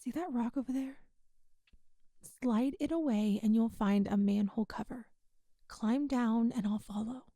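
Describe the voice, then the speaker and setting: Woman doing a tough but kind rebel girl for asmr roleplay, high mellow voice, folksy n hushed, super dry sound. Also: unhurried, dry recording. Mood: friendly, guiding. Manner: folksy, reassuring, hushed, uses "ain't". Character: young woman rebel rescuer, kind, tough, covertly brave.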